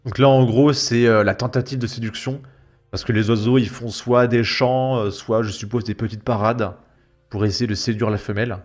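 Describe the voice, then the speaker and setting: A man's voice: mid-range voice, fairly even intonation, moderate pace. A man with a French accent giving casual, colloquial video commentary in a mocking, emphatic manner. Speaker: host of a reaction video channel. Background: background music.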